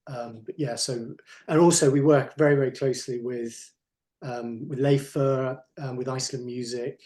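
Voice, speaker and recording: Halting man with many ums. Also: medium pitch, steady tone. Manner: halting, many fillers and restarts, trails off quietly. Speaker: artist manager. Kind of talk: casual panel introduction. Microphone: video call.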